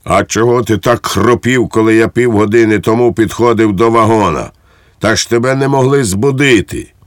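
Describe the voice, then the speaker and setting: A man's voice: moderate pace, dry recording, studio quality, natural voice. A man voicing an irascible, bullying army second lieutenant in an audiobook, barking out threats and orders. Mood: accusing. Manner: barking, shrill outbursts, threatening. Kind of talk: audiobook character acting.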